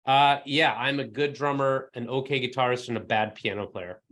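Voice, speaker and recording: A man's voice: medium pitch, steady tone, moderate pace, fairly bright tone. Chatty male podcast host. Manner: friendly, chatty questioner, laughs easily. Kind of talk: casual interview chat.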